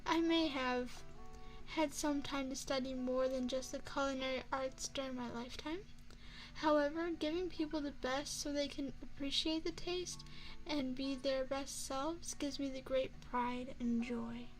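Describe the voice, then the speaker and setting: A woman playing an elegant, flirtatious vampire chef in an ASMR roleplay, speaking formally and courteously with deliberate, teasing phrasing into a close microphone. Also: high voice, fairly even intonation, soft background music, low-quality recording. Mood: gracious, proud. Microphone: close binaural microphone.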